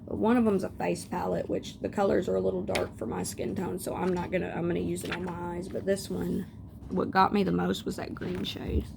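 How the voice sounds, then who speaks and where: A woman's voice: fairly low pitch, flat delivery, fairly quick, vocal fry. A young woman with a scratchy voice, chatting casually and rambling through tangents as she shows off makeup at home. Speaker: beauty vlogger. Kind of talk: casual chat.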